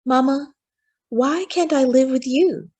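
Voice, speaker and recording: A woman's voice: high-pitched, slow pace, darkish tone, breathy edge, pitched above the performer's own voice, heavily acted. A woman voicing a curious, tender young boy who asks earnest questions in a children's storybook read-aloud. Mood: curious, longing.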